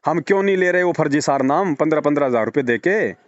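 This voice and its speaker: A man's voice: on the high side, animated, quick pace, no room sound. A man arguing persuasively on a phone call, firing rhetorical questions and repeating words for emphasis. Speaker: religious devotee speaking to a follower. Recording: dull lo-fi phone call recording.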